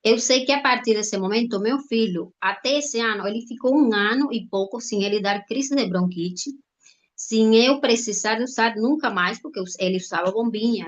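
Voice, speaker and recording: A woman with a Cuban accent, a native Spanish speaker, giving an informal testimonial haltingly, with frequent check-in tags. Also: mid-range voice. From Cuba. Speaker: guest speaker, doctor. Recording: dull lo-fi online-call audio.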